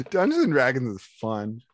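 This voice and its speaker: A man's voice: medium pitch, wildly swooping pitch, a little slow, no echo, studio quality. A man running a tabletop game as game master over a video call, laid-back and teasing, laughing easily.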